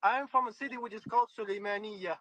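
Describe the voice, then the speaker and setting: Insistent high-voiced man from northern Iraq. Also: fast talker, a bit bright, no room sound. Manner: insistent, keeps repeating "Akhi". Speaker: caller in an online voice chat. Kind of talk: heated debate.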